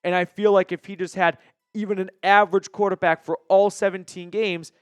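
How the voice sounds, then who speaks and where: Man, American accent, sports commentary, emphatic, conversational, crisp clear voice, dry recording. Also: high voice, little pitch movement, brisk, dry recording. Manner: opinionated, argues point by point, emphatic. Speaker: sports show host.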